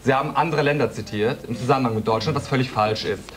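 Young male panelist, fast and indignant, correcting others; studio debate tape. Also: mid-range voice. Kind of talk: heated TV debate. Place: TV studio audience seating.

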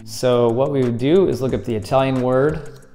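A man's voice: medium pitch, normal speed, dark tone, soft background music. A man in his thirties hosting a friendly how-to video, explaining step by step while he handles props at a table indoors. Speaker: escape room tutorial host. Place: indoors at a table against a plaster wall.

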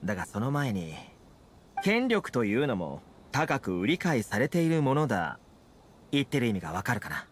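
A man voicing an easygoing, confident young fixer in a Japanese video game, talking smoothly and persuasively. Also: mid-range voice, sing-song, normal speed, noisy background, close to an everyday voice. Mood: composed, persuasive. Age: young adult.

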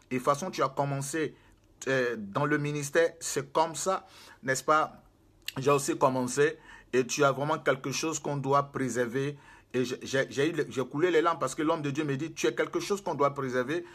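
A man's voice: medium pitch, a bit bright. A man, preaching to a camera in a small room, emphatic and repetitive, gesturing as he speaks. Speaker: prophet and spiritual guide.

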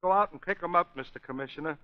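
A man plays a plucky, simple-minded young ballplayer in a 1930s radio drama. His high voice is childlike and slangy. The recording is lo-fi and dull. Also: fast talker, natural voice. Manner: slangy, naive.

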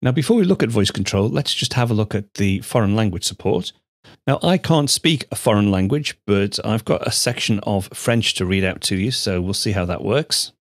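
Low-voiced man explaining software. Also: no room sound, studio quality. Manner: measured, explanatory, steady. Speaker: tutorial host. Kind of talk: screencast software tutorial narration. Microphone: studio microphone.

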